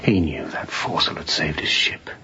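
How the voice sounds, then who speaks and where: An actor playing a weary, haunted escaped seaman who confides in a hushed, halting way, as in a radio drama. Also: rumbling low, in a whisper, dull audio, exaggerated voice. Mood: bitter, haunted. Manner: hushed, breathy, halting confession.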